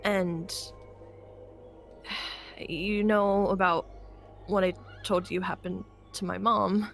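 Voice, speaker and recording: A woman playing a hesitant, hopeful young apocalypse survivor in an improvised game roleplay, speaking haltingly and trailing off. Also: medium pitch, fairly even intonation, creaky, soft background music. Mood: nervous.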